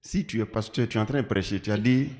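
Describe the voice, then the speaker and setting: A man preaching an animated sermon in French that is not his first language, acting out scenes with sound effects. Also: fairly low pitch, quick pace. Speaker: pastor. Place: large church hall. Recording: echoey church hall.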